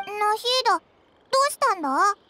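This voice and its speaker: A woman voicing a tiny, excitable, childlike fairy companion in a Japanese video game, with brash boyish phrasing. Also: very high-pitched, a little fast. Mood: concerned. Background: background music.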